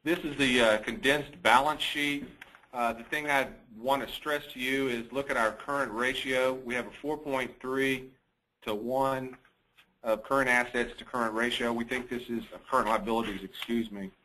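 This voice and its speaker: A man's voice: medium pitch, steady tone, moderate pace, bright, forceful tone, rough recording. A man speaking as a chief financial officer, giving a businesslike, matter-of-fact financial report on a conference call.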